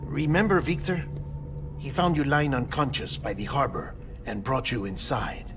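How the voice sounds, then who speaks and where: An actor playing an earnest, analytical adviser who explains things in a measured, naturalistic way in a game cutscene. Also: male voice, medium pitch, lively intonation, close to an everyday voice. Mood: concerned. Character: earnest, analytical adviser man, concerned and methodical. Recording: music bed under the voice.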